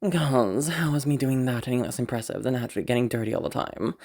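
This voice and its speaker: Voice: medium pitch, normal speed, darkish tone, pitched below the performer's own voice, close to an everyday voice. A performer voicing a proud, frustrated young woman inventor out hunting, muttering to herself with sighs and swearing in a dramatic audio roleplay. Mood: indignant. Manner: muttering to self, sighing, sweary self-argument.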